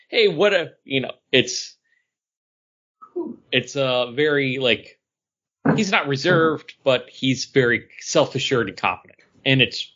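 A man's voice: a little high, a bit bright. A man chatting casually about comics as a podcast co-host, in a conversational way. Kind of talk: casual chat reviewing comics. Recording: dull lo-fi sound.

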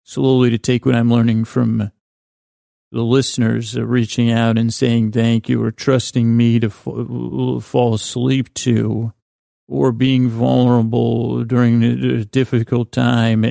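A man with a creaky, middle-pitched voice murmurs a meandering bedtime monologue in soothing tones. His delivery stays very flat, with halting restarts and sentences that drift off. The sound is close and dry, as a podcast host speaking straight to the listener. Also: no room sound. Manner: halting, meandering, with stammered false starts and trailing thoughts.

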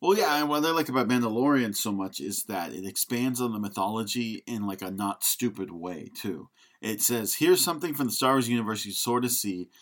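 A man's voice: on the low side, lively intonation, brisk, hardly any pauses. A man co-hosting a podcast, giving casual film critique in a frank, opinionated way. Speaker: podcast co-host.

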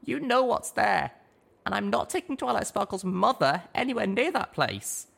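A man voicing an earnest, stubborn young female unicorn in an audiobook, high and insistent. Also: big pitch swings, moderate pace, gravelly, a bit bright, breathy edge, full character voice. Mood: firm. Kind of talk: audiobook reading.

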